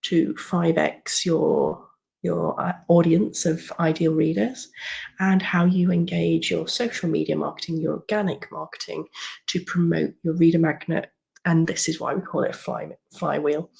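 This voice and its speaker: A woman coaching writers in a webinar, slightly croaky from a cold. Her low voice has a gravelly fry, and she talks in a chatty way, full of ums and restarts. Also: a little mellow, low-quality recording. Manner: chatty, with many fillers and restarts. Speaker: writing coach. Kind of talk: screen-share webinar walkthrough.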